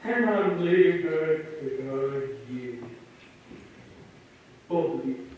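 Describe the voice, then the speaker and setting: Bumbling spy hero, young male actor, stage-loud and fairly high, heard from the back of a reverberant theatre. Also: takes it slowly, narrow, tinny audio. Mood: scheming. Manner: loud stage delivery, bursts of excited shouting. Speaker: student actor. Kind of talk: live school stage play. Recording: echoey hall from far off, some noise.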